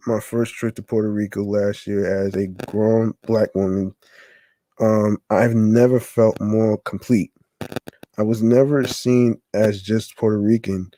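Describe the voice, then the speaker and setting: A man hosting a podcast, reading a text aloud haltingly, stumbling and restarting on words. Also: lowish voice, mellow, no echo, rough recording. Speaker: podcast host.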